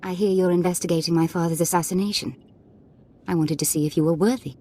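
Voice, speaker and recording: A woman plays a sadistic dark lady of a space fantasy game, with a low, husky voice. She is imperious and sweetly mocking, every line edged with menace. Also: fairly even intonation, fast talker, natural voice. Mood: imperious, menacing. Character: menacing, playfully sadistic female Sith lord. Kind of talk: scripted game dialogue.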